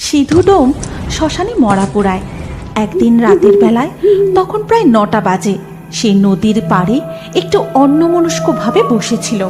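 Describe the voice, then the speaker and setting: A woman's voice: a little high, sing-song, normal speed. A woman narrating a scripted horror story dramatically, as the narrator of a cartoon. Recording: music laid under the narration.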